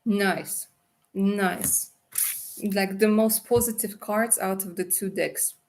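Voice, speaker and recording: A woman's voice: mid-range voice, steady tone, slow, a little mellow, a little hiss or hum. A woman doing a live tarot reading on a stream, chatty and affectionate, calling viewers darling. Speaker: tarot reader.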